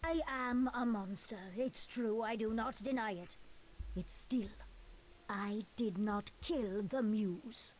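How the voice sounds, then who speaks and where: Cursed she-monster for a video game: seductive, hungry, pleading, theatrical whispers, faint noise behind. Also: a little high. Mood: pleading, sorrowful. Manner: theatrical, hissing whispers, drawn-out words.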